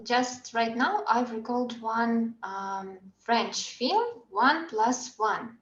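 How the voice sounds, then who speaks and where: A woman whose first language is Russian speaks English slowly and reflectively, often pausing to find her words. Her voice is a little high. It sounds roomy and slightly dull, as if coming over an online call. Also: a bit bright. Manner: reflective, unhurried, searches for words. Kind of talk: casual group chat in English.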